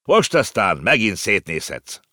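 A man's voice: on the low side, big pitch swings, pushed and bright, no echo, clean studio sound, heavily acted. A man voicing a bossy, commanding older uncle who barks short orders in a storybook reading. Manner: barked commands.

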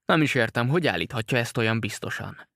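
A cool-headed detective who weighs every clue in a calm, precise voice, as a male reader plays him. The voice sits close to the reader's own middle pitch, and the recording is dry and close. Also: no room sound. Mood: calm reasoning.

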